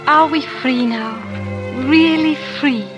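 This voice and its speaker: A woman's voice: high-pitched, slow, long reverb tail, highs cut off, music in the background. A woman acting a devoted, anguished young wife in a 1930s radio melodrama. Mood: relieved. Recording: old 1930s radio broadcast.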